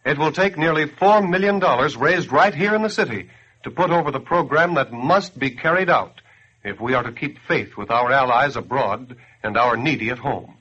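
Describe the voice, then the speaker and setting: A man's voice: mid-range voice, normal speed, pushed and bright, slightly rough. A male actor playing a patient, formal charity announcer, explaining persuasively in scripted 1940s radio style. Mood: explanatory. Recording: dull lo-fi 1940s radio recording.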